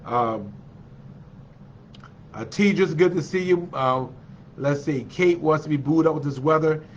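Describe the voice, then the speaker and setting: A man's voice: a little high, a little slow, a little hiss or hum. A man with a congested, slightly nasal voice, hosting a casual livestream and chatting with his viewers. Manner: chatty and encouraging, with many fillers. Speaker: livestream host. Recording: dull lo-fi livestream audio.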